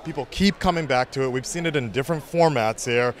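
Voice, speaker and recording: A man's voice: medium pitch, quick pace. A man hosting a fan interview with a hyped, casual, slangy delivery in a press room. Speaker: host and reporter.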